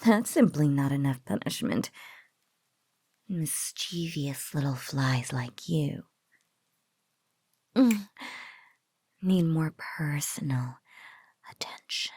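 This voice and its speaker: A close, dry, breathy female spider monster acted by a woman in an intimate audio roleplay. She coos slowly and possessively over her captive, teasing and doting, her drawl often sinking into whispers. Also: low voice, animated, a little mellow, dry recording, heavily acted. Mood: sly, menacing. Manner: teasing, drawling coo with giggles.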